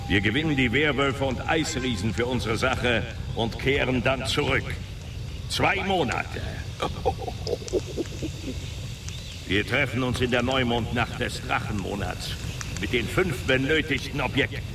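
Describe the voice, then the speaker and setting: An actor playing a commanding leader of a secret brotherhood in a fantasy radio drama, speaking formally and with ceremony. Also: male voice, mid-range voice, distant mic, noisy background. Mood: rousing. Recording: loud music behind the voice.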